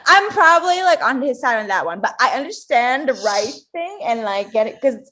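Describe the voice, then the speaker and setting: A young woman from Macau chats as a podcast co-host. Her talk is loose and animated, packed with fillers. Her pitch moves a lot, rising and falling across each thought. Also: moderate pace, slight background noise. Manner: chatty, animated, lots of fillers. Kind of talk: casual chat.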